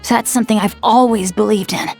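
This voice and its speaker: A woman's voice: medium pitch, steady tone, brisk, gravelly, a little mellow, faint music behind. A woman voicing an earnest, optimistic young boy adventurer in an anime game, speaking sincerely. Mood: hopeful. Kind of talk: scripted anime game voice acting.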